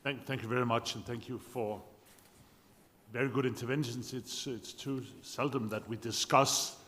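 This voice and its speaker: A man asking a question from the floor, halting with many restarts. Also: mid-range voice, fairly even intonation, fairly bright tone. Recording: reverberant hall, faint ambience.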